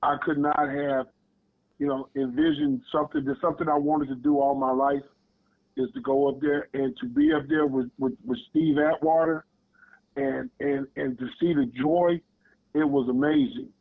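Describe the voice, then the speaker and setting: Hoarse gravelly man on a phone line. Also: fairly high pitch, animated, moderate pace, no room sound. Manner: storytelling, emphatic. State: losing his voice. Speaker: podcast co-host. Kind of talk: casual chat. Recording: tinny phone-like line, dry.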